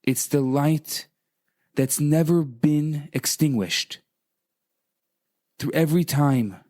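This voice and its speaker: A man speaking as a rabbi on radio, in a dramatic, inspirational storytelling style, deliberate and emphatic. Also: a little high, mellow. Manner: deliberate, emphatic, repeats phrases for effect.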